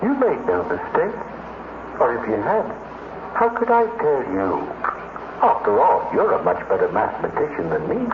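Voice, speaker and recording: A man acting as a telepathic robot in a 1950s radio drama, deliberate, theatrical and insinuating. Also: mid-range voice, wildly swooping pitch. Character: telepathic male robot, knowing, insinuating, flattering. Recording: noisy, tinny 1950s radio recording, echoey.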